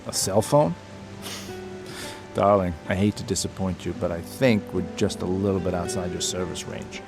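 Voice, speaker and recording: Low gruff tired sub guy, pessimistic and dry, radio play w faint music. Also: normal speed. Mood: dry, teasing. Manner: sardonic, grim. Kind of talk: audio drama acting.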